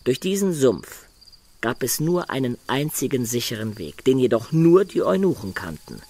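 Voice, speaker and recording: Measured adventurer telling tales, mid-pitched. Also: male voice, very animated, a little mellow. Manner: measured, vivid storytelling. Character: worldly adventurer recounting his fantastic travels. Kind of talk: German radio play narration.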